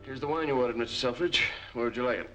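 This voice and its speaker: An actor playing a chatty, deferential hotel bellhop in a 1940s film noir. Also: male voice, medium pitch, rapid-fire, over music, natural voice. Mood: chatty, eager. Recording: muffled, noisy 1940s film soundtrack.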